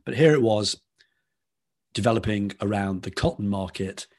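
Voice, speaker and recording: Husky-voiced Liverpool man explaining. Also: lowish voice, moderate pace, a little mellow. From Liverpool, England. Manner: explains at length, restarting phrases as he goes. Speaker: podcast guest. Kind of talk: conversational explainer.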